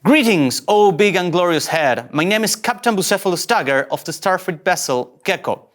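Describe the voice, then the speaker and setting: An actor playing an insecure, excitable fake starship captain, earnest and grandiose yet easily flustered, at a sci-fi table read. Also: medium pitch, moderate pace, lightly acted. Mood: formal, pleading.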